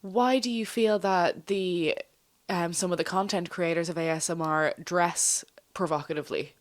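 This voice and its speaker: A woman's voice: lowish voice, normal speed. A woman co-hosting a casual podcast chat, chatty and agreeable, backing the speaker with "yeah" and "mm-hmm".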